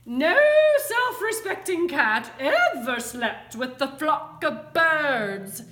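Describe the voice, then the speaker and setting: Woman playing a stern, high-pitched scolding mother cat. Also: sing-song, slow pace, pushed and bright, reverberant, exaggerated voice. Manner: scolding, emphatic.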